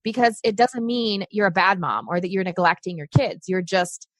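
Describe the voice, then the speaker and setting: A woman's voice: mid-range voice, normal speed, no echo. Brazil-born woman, chatty earnest coach on a podcast, really dry mic. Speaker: podcast guest, success coach for moms. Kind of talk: casual interview chat.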